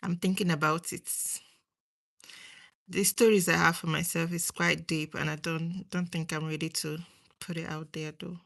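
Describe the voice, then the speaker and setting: A woman's voice: low voice, flat delivery, normal speed, vocal fry, fairly bright tone. A young woman with a Nigerian accent chatting casually to viewers on a livestream, rambling and trailing off between thoughts. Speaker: livestream host.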